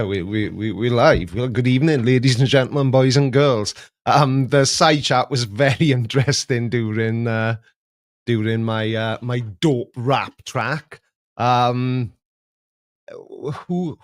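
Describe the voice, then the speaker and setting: A man's voice: mid-range voice, no room sound, studio quality. A man hosting a live stream in casual chat, talking with laughs and restarts. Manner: chatty, with laughs and filler restarts.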